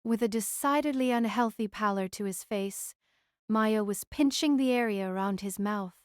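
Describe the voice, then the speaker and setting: A woman's voice: mid-range voice, normal speed, clean tone, dry recording, clean studio sound. A woman reading scripted fiction narration as the narrator of a novel.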